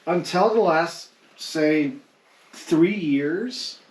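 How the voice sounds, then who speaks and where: A man's voice: a little high, very slow. A man on a podcast panel chatting casually, a little hesitant as he searches for words. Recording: echoey room, faint noise.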